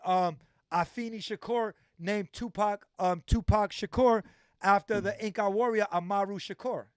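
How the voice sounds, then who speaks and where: A man's voice: high voice, normal speed, clean tone, no echo, clean studio sound. A man hosting a livestream interview, talking emphatically and repeating phrases for stress. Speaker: livestream host.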